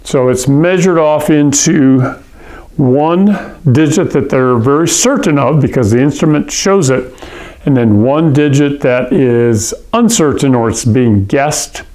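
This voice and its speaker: Slow, patient male science teacher. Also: medium pitch, animated, darkish tone. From Hawaii. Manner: patient, checks in with "okay?" and "all right?". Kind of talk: classroom lecture.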